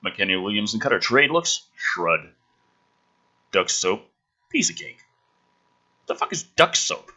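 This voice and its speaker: A man's voice: on the low side, sing-song, a little slow, pauses often, bright, forceful tone. A man hosting a channel, giving a sarcastic, theatrical script reading with exasperated, foul-mouthed commentary. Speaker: host of a gaming and film channel.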